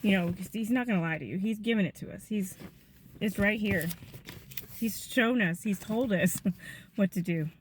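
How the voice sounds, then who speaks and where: Gentle, chatty woman in her fifties. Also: medium pitch, normal speed, slight background noise. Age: 50s. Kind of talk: casual devotional talk to viewers.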